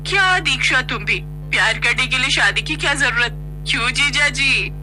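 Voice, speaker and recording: Woman voicing a brazen, lively flirt of a sister-in-law. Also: high voice, moderate pace, music in the background. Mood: flirty teasing. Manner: playful, suggestive, giggly teasing.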